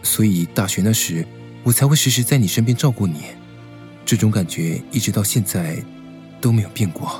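An actor playing a gentle, devoted and protective young man in a romantic radio drama, speaking earnestly. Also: on the low side, brisk, faint music behind, lightly acted. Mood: tender, earnest. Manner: tender, earnest, caring.

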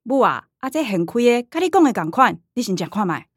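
A concerned middle-aged mother in a radio drama, coaxing her family in a Taiwanese accent. Her fairly high voice moves expressively over a dry recording. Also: very animated, a little fast, slightly rough, no echo.

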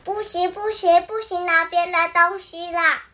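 A woman's voice: very high voice, fairly even intonation, moderate pace, pushed and bright. A woman voicing a small, earnest bunny who scolds in a sing-song way. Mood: scolding.

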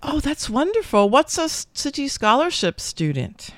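A woman's voice: high voice, sing-song, normal speed, dry recording. A middle-aged woman hosting a friendly radio interview, enthusiastic and full of exclamations and small fillers. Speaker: radio show host and English department chair.

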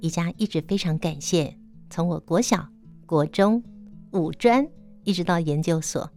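A woman's voice: on the low side, measured pace, mellow, faint music behind. A woman radio host with a Taiwanese Mandarin accent, interviewing attentively and echoing answers back.

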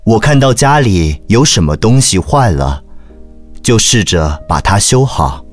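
An actor voicing an earnest, determined priest who narrates his memoir in audio drama style, measured and expressive. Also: on the low side. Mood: earnest, stirred. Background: music behind the voice.

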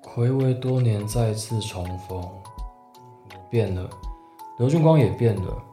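Actor as sensitive, low-voiced man around forty. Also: takes it slowly, mellow. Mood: wistful, subdued. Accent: Taiwanese Mandarin. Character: man around forty reuniting with old flame, sensitive, unsettled. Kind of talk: radio drama narration and dialogue. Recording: roomy echo, music behind.

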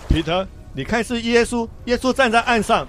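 An actor playing a steady, chiding old fisherman in a biblical radio drama, with a theatrical, admonishing delivery. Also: very high-pitched, normal speed. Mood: excited.

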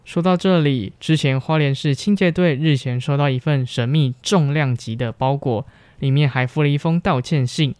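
A woman's voice: rumbling low, moderate pace, a little mellow, no echo. A young woman with a Taiwanese Mandarin accent, presenting scripted radio links as a student host. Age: young adult.

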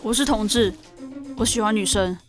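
A woman's voice: mid-range voice, normal speed, loud music behind the voice, lightly acted. A woman playing an anxious, sincere young daughter in an audio drama, with a Taiwanese Mandarin accent and a pleading manner. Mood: earnest. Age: young adult. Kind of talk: audio drama acting with reflective narration.

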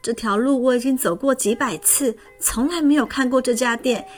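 A woman's voice: normal speed, natural voice. A woman reading a storybook aloud and voicing a timid young schoolgirl who is afraid of water, with a wide-eyed, exclaiming manner. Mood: puzzled. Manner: wide-eyed, exclaiming, higher and brighter than the narration. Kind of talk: storybook character voice. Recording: faint music underneath.

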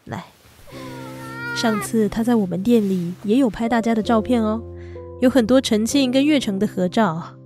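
A quietly lovelorn young woman played by an actress with a fairly high, tender voice. She speaks Taiwanese Mandarin with restraint, in radio drama style over soft music. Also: moderate pace, lightly acted. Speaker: voice actor in an audio drama. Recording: music bed under the voice.

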